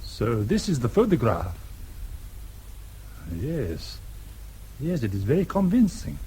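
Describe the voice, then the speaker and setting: An actor playing a grave, courteous German man in a radio drama, speaking formally and deliberately with a German accent. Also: medium pitch, huge pitch swings, mellow, a little hiss or hum, full character voice. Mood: grave, confiding. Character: courteous, principled German man, grave confidant or consular clerk.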